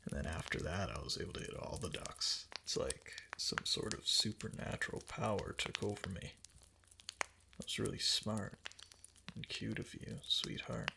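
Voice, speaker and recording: A man playing a doting, obsessively devoted fox-spirit husband in an intimate roleplay ASMR, speaking softly and tenderly in breathy, halting endearments. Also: fairly low pitch, noisy background. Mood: fond, reminiscing. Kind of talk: intimate roleplay ASMR, soft and partly whispered.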